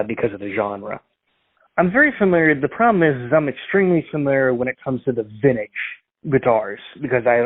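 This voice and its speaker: A man's voice: mid-range voice, no room sound. A man making a prank phone call, rambling and full of fillers and restarts. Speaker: prank caller. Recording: tinny dry phone line.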